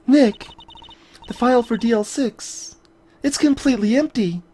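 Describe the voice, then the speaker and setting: A woman voicing an earnest, expressive young female legal assistant in a video game, easily startled. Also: a little high, unhurried, slight background noise, lightly acted. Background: faint game music.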